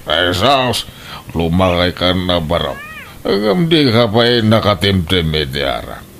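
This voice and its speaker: A pushy, fun-loving uncle from a Filipino comedic radio drama. He drawls very slowly in a lowish voice that leaps up and down wildly, blustering and scoffing over a slightly noisy track. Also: sing-song, gravelly, fairly bright tone, heavily acted. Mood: teasing, coaxing. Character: pushy, carousing uncle who loves drink and fun.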